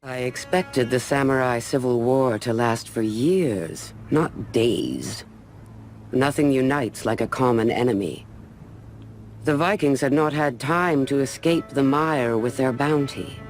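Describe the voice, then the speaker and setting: Serious war narrator, slow measured delivery, mid-pitched, video game storytelling, faint music. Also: male voice, lively intonation. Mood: grave.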